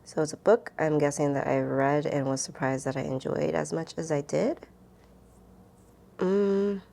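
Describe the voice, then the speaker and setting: A woman's voice: low voice, little pitch movement, normal speed, gravelly, mellow. A woman book vlogger talking casually and informally, rambling and thinking aloud as she answers questions. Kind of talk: casual chatty vlog, answering tag questions.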